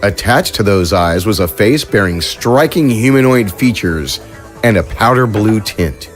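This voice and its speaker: A man narrates a science fiction audio drama in a low voice. His telling is measured and even, a steady scripted read that carries the scenes. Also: faint music behind.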